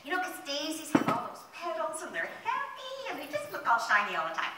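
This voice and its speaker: Woman voicing a high, whiny, weepy little caterpillar. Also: wildly swooping pitch, a little fast. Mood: dreamy, excited. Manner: whiny, dramatic. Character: weepy, fickle, discontented little male caterpillar. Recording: echoey room, distant mic, faint noise.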